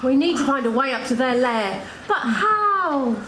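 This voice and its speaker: A melodramatic, hapless cow from a stage pantomime acted by a woman, high and very animated. She sounds plaintive and exaggerated in an echoey theatre with slight noise. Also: unhurried, full character voice. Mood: earnest. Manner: exaggerated, plaintive comic delivery.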